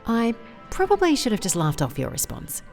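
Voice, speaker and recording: An actress playing a driven, awkward private investigator in an audio drama, speaking in a formal, wordy, dryly self-deprecating way. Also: female voice, a little high, huge pitch swings, normal speed, a little mellow, soft background music.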